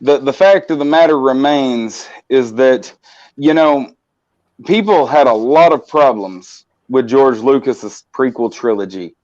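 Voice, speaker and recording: A man's voice: mid-range voice, animated, a little slow, a little mellow. A man hosting a casual morning live-stream chat, talking in long, passionate runs with frequent restarts. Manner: rambling, passionate, many restarts. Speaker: host. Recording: slightly muffled audio.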